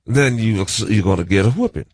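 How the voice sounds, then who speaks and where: An older man from the rural United States, reminiscing as a guest in a halting, folksy and somewhat defensive way. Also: fairly low pitch, animated, darkish tone, dry recording. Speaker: interview guest. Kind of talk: conversational reminiscence.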